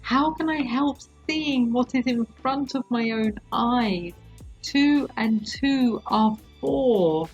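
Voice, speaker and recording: A man's voice: very high voice, takes it slowly, husky, lo-fi sound, faint music behind, pitched above the performer's own voice, exaggerated voice. A woman voicing a tortured male prisoner in an audiobook-style reading, terrified and pleading in anguish. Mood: tearful protest. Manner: pleading, anguished outbursts with repeated words.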